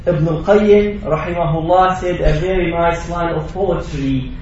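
High-voiced preacher in an echoey hall. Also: male voice, fairly even intonation, measured pace, darkish tone, a little hiss or hum. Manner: preaching with rising emphasis, Arabic recitation between English. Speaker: imam giving a Friday sermon. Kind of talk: sermon. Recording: distant echoey mosque recording, dull.

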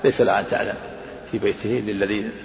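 A man teaching as a scholar, explaining texts in a rapid lecture and repeating his points. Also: fairly low pitch, steady tone. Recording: echoey hall, dull lo-fi sound.

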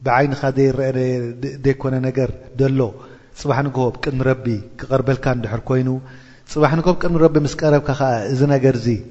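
A male preacher with a mellow, warm voice at a middle pitch, teaching a religious lesson. He intones scripture and then explains it, pausing to toss rhetorical questions to the room. The recording is dull and lo-fi, with a long reverb trailing each phrase. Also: steady tone. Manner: asks the listeners rhetorical checks, intones quoted verses. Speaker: Islamic preacher explaining a Quran chapter.

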